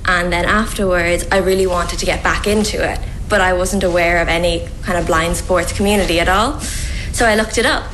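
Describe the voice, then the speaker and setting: A woman's voice: lowish voice, fairly even intonation, fairly quick, a bit bright, a little hiss or hum, low-quality recording. A woman, a tennis player speaking eagerly and earnestly in a radio interview.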